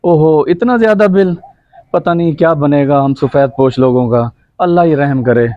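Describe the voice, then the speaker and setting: A man's voice: fairly high pitch, lightly acted. A man playing a humble, troubled uncle short of money in an amateur moral drama, plaintive and respectful. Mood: anxious. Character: troubled, humble, hard-up younger brother and uncle. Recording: slightly dull lo-fi sound.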